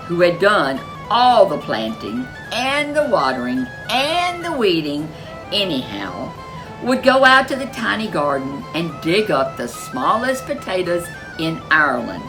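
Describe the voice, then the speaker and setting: A woman storyteller reading a folk tale picture book aloud in a gentle, expressive way. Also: low voice, poor audio.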